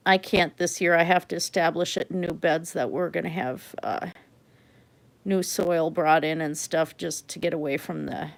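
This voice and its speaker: A middle-aged woman whose first language was German, hosting a livestream and chatting casually with viewers. She rambles, laughs often and lets her sentences trail off softly. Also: fairly low pitch, vocal fry. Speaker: livestream art host.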